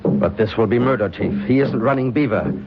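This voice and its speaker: Guy as stern solemn warrior teacher in old radio play, formal, lively swings, muffled noisy sound. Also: mid-range voice, a little fast, over loud music, close to an everyday voice. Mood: solemn, pleading. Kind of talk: old-time radio drama acting. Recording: dull, noisy vintage radio recording.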